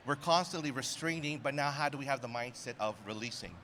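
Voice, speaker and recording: A man's voice: mid-range voice, quick pace, bright, forceful tone, slight background noise. A man from Canada, a ministry leader, answering on a panel in an articulate, reflective way.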